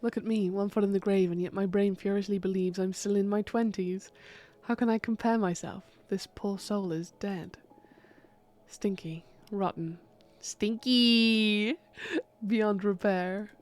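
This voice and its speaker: A woman's voice: mid-range voice, fairly even intonation, measured pace, darkish tone, lightly acted. A woman voicing an old witch and necromancer, blunt, sardonic and crude-mouthed, in an audio drama. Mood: wry. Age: older.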